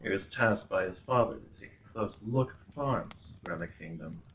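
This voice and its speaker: A man's voice: low-pitched, lively intonation, a little fast, fairly bright tone, slight background noise. A man playing a smug, possessive crown prince in a roleplay audio drama, speaking with formal, condescending politeness and theatrical flair. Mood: tender, obsessed. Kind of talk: roleplay ASMR acting.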